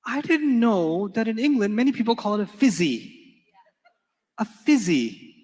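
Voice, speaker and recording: A man with a fairly high voice teaches a live class. His first language is Urdu, and he keeps it playful, drilling students and telling stories. The sound carries a long reverb from a big lecture hall. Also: sing-song, measured pace, a little mellow. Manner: playful, joking, quizzes students in call-and-response. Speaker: Arabic teacher.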